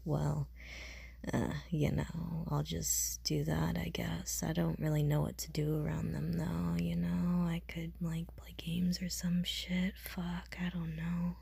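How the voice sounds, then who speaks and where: A deadpan, awkward young slacker woman, voiced by an actress in an audio roleplay. Her voice is low and breathy, and she mumbles slowly in self-deprecating slang. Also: no room sound, studio quality, heavily acted. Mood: reluctant, gloomy.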